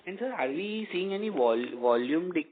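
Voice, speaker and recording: A man's voice: on the high side, lively intonation, normal speed. A male analyst, politely asking questions on an earnings call and calling the speakers sir. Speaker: analyst caller. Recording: tinny phone line.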